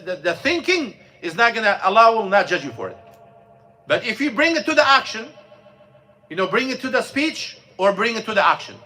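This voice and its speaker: High bright-voiced man teaching a class. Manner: plain, repetitive explaining with restarts, asks the class questions. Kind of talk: informal religious lesson. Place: mosque classroom.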